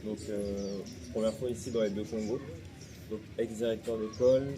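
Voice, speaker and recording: Low-voiced man introducing himself. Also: fairly even intonation, moderate pace, crowd noise. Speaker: visiting volunteer. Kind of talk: self-introduction.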